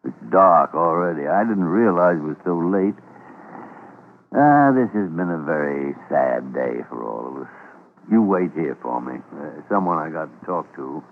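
An American actor playing a gruff, fatherly older senior doctor in a classic radio drama, speaking in a measured, emphatic way. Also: a bass voice, lively intonation, exaggerated voice. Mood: sombre, kindly. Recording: muffled, hissy old radio recording.

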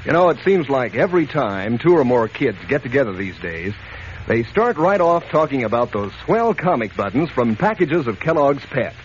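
An American man delivering a folksy, enthusiastic 1940s radio advertising pitch aimed at children. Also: very animated, moderate pace. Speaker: announcer, pitchman. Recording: muffled, noisy old radio recording.

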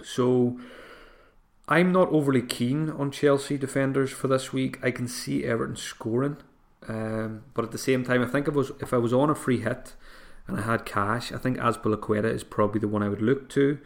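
A man with a voice growing hoarse talks through his picks on a solo podcast. He thinks out loud, often restarting phrases. His pitch sits in the ordinary middle range. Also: steady tone, normal speed. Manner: thinking aloud, frequent restarts and fillers. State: voice getting hoarse. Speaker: podcast host. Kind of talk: solo podcast advice talk.

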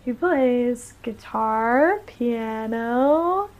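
A woman's voice: fairly high pitch, expressive, drawn-out words, lo-fi sound. A young American woman, a musician guest on a podcast, chatting casually with many fillers and frequent laughs. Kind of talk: casual chat.

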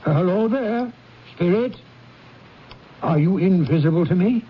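An actor playing a fearful, penny-pinching old miser turning remorseful, in theatrical, formal 1970s radio drama style. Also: male voice, sits high, lively intonation, a little slow. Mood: alarmed, grudging. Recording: dull, noisy 1970s radio recording.

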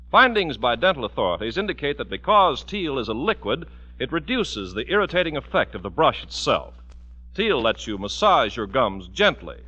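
A man's voice: medium pitch, pushed and bright. A man working as a radio announcer, delivering scripted commercials and narration in an emphatic, polished 1940s sales style. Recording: dull vintage radio broadcast recording.

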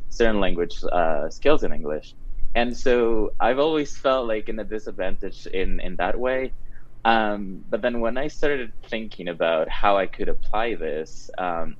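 A man's voice: low voice, dull audio. A young man from Ecuador, a translator and interpreter, speaking English with a Spanish accent and hesitating often with ums and restarts. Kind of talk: casual interview talk.

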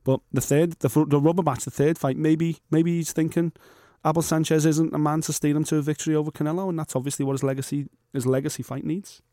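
A man's voice: on the high side, steady tone, fast talker, hardly any pauses, warm tone, dry recording, clean studio sound. A man co-hosting a boxing podcast in casual chat, blunt and jokey, with slang like "mate".

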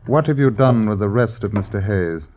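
On a muffled, hissy old radio recording, an actor plays a stern sheriff of bygone London. His low voice questions formally, rising and falling sharply with authority. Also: animated, moderate pace, a little mellow, natural voice. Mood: stern, probing. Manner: formal, interrogating, archaic phrasing. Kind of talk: old radio drama acting. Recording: dull, noisy vintage radio recording.

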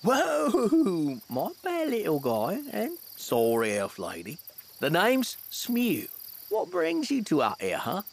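Man playing a creaky, high-voiced laid-back selkie dude. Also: huge pitch swings, takes it slowly, a little hiss or hum, heavily acted. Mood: casual, surprised. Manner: slangy, hesitant, says bruv. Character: laid-back, cowardly blue selkie dude, chatty and friendly. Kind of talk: fantasy comedy audio drama acting.

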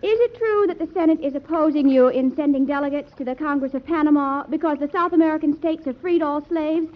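A woman plays a wily young female reporter in a vintage radio play. Her voice is very high, and she is brisk, cheeky and hard to put off. The old recording is slightly dull. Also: close to an everyday voice. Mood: brisk, probing. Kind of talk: old-time radio drama acting.

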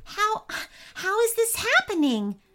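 A woman's voice: high voice, sing-song, measured pace, fairly bright tone, voice pushed up, full character voice. A woman voicing a polite, nervous young boy in a story, hesitant, earnest and hopeful. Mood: wondering, hopeful. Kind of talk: storybook character voice.

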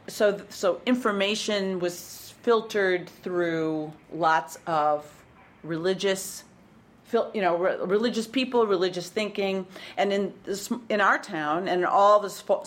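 A woman's voice: lowish voice, slow pace. A woman in her fifties from Wisconsin with a Midwestern accent, a guest recalling her farm childhood in casual interview chat, reflective and full of restarts.

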